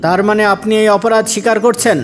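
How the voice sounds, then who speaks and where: Man acting a high-pitched stern cartoon judge. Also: steady tone, fast, natural voice. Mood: stern, formal. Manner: formal, declamatory. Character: stern, fair male judge of an Islamic court. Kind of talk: animated moral drama acting. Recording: faint music behind the voice.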